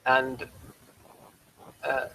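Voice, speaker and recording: A man hosting a casual review show, explaining things in a halting, conversational way. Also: bright, forceful tone, dry recording, low-quality recording.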